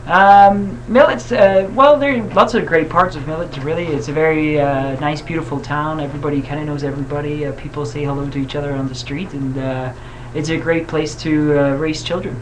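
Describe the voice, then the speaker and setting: A man's voice: on the high side, fairly even intonation, brisk. A man from Switzerland, a campaign guest, answering interview questions hesitantly with many fillers. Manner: hesitant, many uhs and ums. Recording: audible background hiss.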